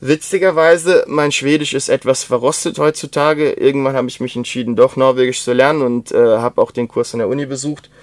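A young man with a slight Swabian accent, talking casually and rambling with many pauses, fillers and restarts. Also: medium pitch, steady tone, no room sound. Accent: German with Swabian traces. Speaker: interview guest. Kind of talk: casual conversation.

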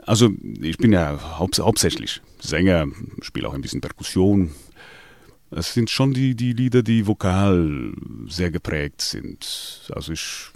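Singer, man, deep gravelly voice, lively hesitant delivery with many restarts, dry sound. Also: no echo. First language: Portuguese. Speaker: singer in a latin music band. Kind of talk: radio interview.

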